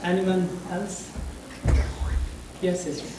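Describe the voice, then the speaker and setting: A man with a Pakistani accent, a Punjabi speaker talking in English, addressing a church congregation haltingly and searching for his words. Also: high voice, level tone, music in the background. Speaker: visiting missionary speaker. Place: church hall. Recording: roomy hall audio from a distance.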